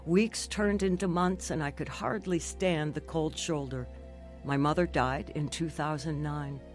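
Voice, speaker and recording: An actress playing an earnest older woman narrating her life story in a measured radio drama style. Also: low-pitched, soft background music. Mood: earnest, reflective.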